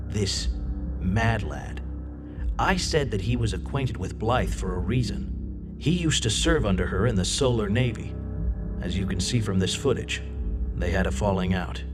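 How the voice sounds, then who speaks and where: A man's voice: on the low side, lively intonation, a little slow. An actor playing a scheming, manipulative male senator with a persuasive, insinuating delivery in a video game cutscene. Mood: sly, persuasive. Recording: loud music under the voice.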